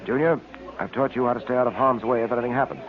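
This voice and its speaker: An actor playing a calm, commanding detective in 1940s radio style, with a dry, clipped, unflappable delivery. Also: male voice, mid-range voice, very fast, a crowd in the background, lightly acted. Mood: dry, firm. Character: calm, commanding adult male police detective. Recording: muffled old radio recording with hiss.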